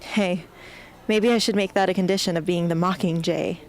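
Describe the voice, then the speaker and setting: A woman's voice: fairly low pitch, fairly even intonation, normal speed, darkish tone, close to an everyday voice, poor audio. A woman playing a troubled, determined teenage girl rebel in an amateur radio drama. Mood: casual.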